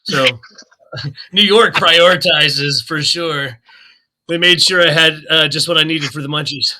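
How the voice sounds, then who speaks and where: A man from Oklahoma chatting casually on a group call, telling animated stories and laughing often. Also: on the high side, a little slow, rough recording. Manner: animated storyteller, laughs often, acts out quotes. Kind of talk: casual chat.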